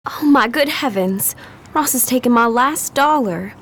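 A woman's voice: a little high, animated, unhurried, fairly bright tone, full character voice. An actress playing a fretful, naive married society woman at the gambling table in a 1920s radio drama. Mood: distraught.

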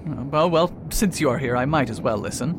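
A man's voice: medium pitch, a little fast, close to an everyday voice. A man playing a proud, faded detective who talks pompously and hesitates with filler sounds, in an amateur radio drama. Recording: noisy recording with steady hiss.

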